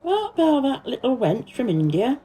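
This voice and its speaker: A woman's voice: sits high, huge pitch swings, measured pace, mellow, pitched above the performer's own voice, exaggerated voice. A woman voicing a surly, blunt old male gardener with a Yorkshire accent in a storybook reading. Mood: gruff, frank. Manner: blunt, gruff dialect speech.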